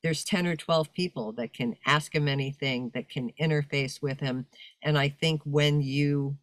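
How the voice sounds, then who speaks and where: An older woman, a voice-over announcer and coach, talking casually in a video-call interview with a deep, commanding voice and a big, projecting manner. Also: steady tone, unhurried, dry recording. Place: home room with fur-draped chair.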